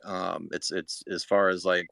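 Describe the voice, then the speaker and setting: A man explaining things in a halting, matter-of-fact way in a casual live audio chat. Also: low-pitched, little pitch movement, gravelly, bright, forceful tone, no room sound. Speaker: participant reporting figures.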